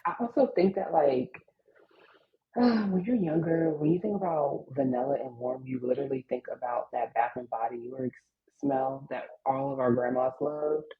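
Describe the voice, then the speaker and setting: A woman co-hosting a casual podcast, relaxed and conversational, often murmuring agreement. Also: extremely low pitch, moderate pace. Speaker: podcast co-host. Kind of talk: casual chat. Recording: slightly dull, muffled audio.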